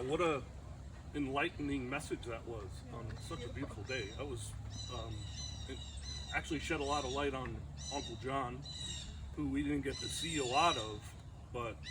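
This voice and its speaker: A man's voice: medium pitch, moderate pace. Hesitant man, distant, speaking outdoors over noise. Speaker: family member reading at a memorial. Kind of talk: informal remarks and read-aloud memoir. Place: outdoors at a cemetery ceremony. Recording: noisy outdoor recording, distant from mic.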